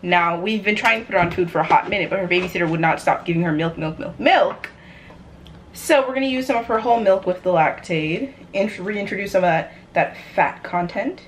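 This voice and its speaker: A woman's voice: fairly low pitch, brisk. A young American woman chatting casually to the camera in a playful, chaotic way as she vlogs in her home kitchen. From New York, United States. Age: young adult. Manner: playful, chatty, self-described chaotic energy. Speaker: vlogger. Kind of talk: casual cooking vlog chat to camera. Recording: clear background noise, room ambience.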